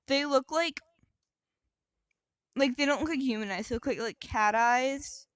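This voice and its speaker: Woman, higher-pitched voice, podcast co-host, halting conversational delivery, close dry sound. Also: no room sound. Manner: halting, improvised descriptions with frequent "like". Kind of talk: casual podcast chat.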